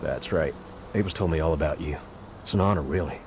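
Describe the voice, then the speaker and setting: An actor playing an earnest, respectful militant ally in a video game, casual and direct. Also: male voice, a bass voice, quick pace, noisy background. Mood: friendly. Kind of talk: video game cutscene acting.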